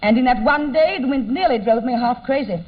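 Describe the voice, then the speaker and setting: A woman playing a grand, genteel amateur Shakespearean reciter with a husky voice in an old radio comedy, theatrical and easily affronted. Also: high-pitched, a little fast. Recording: muffled old radio recording.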